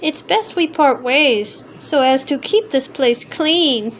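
Mild-mannered storybook poodle pup read by a woman, high, frank, matter-of-fact. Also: slow, a little hiss or hum.

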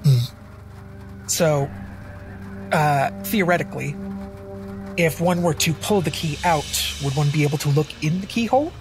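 A man with a fairly high voice plays a tabletop roleplaying game. He improvises his character's actions casually and hesitantly, as if working them out aloud, with clear music underneath. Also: measured pace, pauses often.

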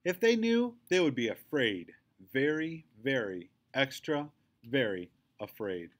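A man's voice: medium pitch, slow pace. A man voicing a feisty, chatty little girl in a storybook, speaking emphatically and indignantly. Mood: boastful. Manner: emphatic, excitable.

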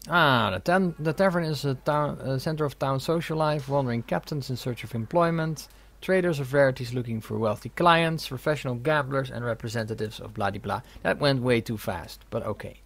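Gravelly man doing casual game commentary. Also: medium pitch, a little fast, few pauses, a bit bright. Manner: reads game dialogue aloud, jokey asides. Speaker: gaming video host. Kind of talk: casual let's play commentary.

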